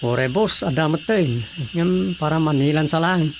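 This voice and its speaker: A man's voice: on the high side, normal speed, close to an everyday voice. An actor playing an everyday family man, a husband, in a radio drama, with an Ilocano accent. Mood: conversational. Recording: noisy track with chirping night crickets.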